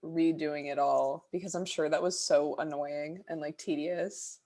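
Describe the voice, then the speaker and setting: A woman's voice: low voice, steady tone, measured pace, warm tone. A young woman co-hosting a podcast, wry and skeptical in casual chat. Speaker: podcast co-host.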